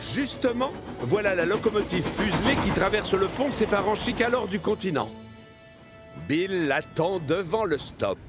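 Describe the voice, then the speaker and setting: A man narrates a children's cartoon as an expressive storyteller. His voice sits fairly high and moves with lively intonation, with music clearly playing behind him. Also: normal speed.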